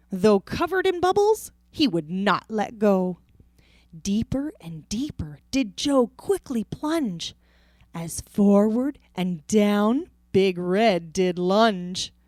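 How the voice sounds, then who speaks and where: A woman reading a rhyming children's picture book aloud in a theatrical, deliberate way. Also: mid-range voice, a little mellow, no echo, studio quality. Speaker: storybook reader.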